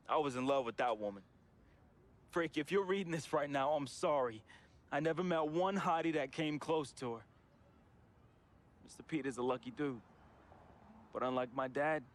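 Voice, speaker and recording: A man's voice: mid-range voice, lightly acted. An actor playing a troubled, grieving young American man reading a farewell letter to his friend in an emotional, slangy, confessional voice-over. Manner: emotional, slangy, heartfelt urban American delivery. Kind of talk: dramatic confessional voice-over monologue. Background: music.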